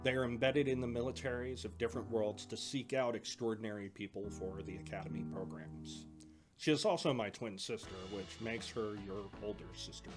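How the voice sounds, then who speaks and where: Man acting a low-voiced, earnest older-brother academy trainer. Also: a little fast, over music, natural voice. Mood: matter-of-fact. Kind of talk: audio drama acting.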